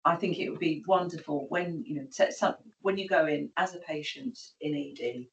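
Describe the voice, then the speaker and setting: Low-voiced woman, distant and echoey. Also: lively intonation, moderate pace. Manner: rambling, broken-off phrases. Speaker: board member. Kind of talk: meeting comment. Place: meeting room. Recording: echoey room, far from the mic.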